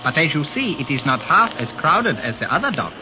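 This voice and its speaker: Formal, helpful Chinese ally, highish expressive voice, muffled old radio drama with music. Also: male voice, brisk. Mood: calm, informative. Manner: formal, precise, uncontracted phrasing. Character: polite, helpful Chinese secret police ally. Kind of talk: 1930s radio serial acting.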